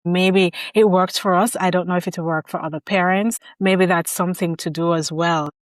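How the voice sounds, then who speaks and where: Woman host, lower register, thoughtful conversational delivery, close dry mic. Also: fairly even intonation, normal speed, no room sound. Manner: reflective, shares personal parenting experience. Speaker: podcast host. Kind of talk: conversational interview.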